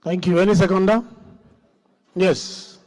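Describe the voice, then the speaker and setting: A man's voice: high-pitched, fairly even intonation, measured pace, a little mellow. A Nigerian man formally reading out parliamentary business as presiding officer. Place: large indoor legislative chamber. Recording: reverberant legislative chamber.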